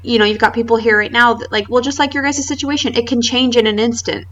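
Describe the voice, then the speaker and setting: A woman's voice: a little high, fairly even intonation, fast talker, a bit bright, slight background noise. A woman interviewer in casual conversation, sympathetic and chatty, affirming her guest often. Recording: slightly distant, dull recording.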